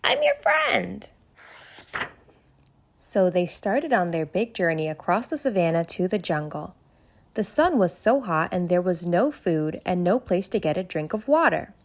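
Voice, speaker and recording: A woman narrating a bedtime story aloud for children in an expressive way that speaks directly to young listeners. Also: fairly low pitch, normal speed.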